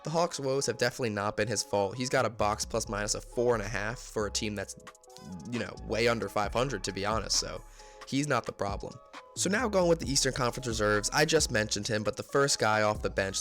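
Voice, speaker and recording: A man's voice: fairly low pitch, a bit bright, soft background music. A young man with a congested, slightly nasal voice giving casual sports commentary, reeling off player statistics. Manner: stat-heavy, rapid listing of numbers. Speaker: basketball video creator.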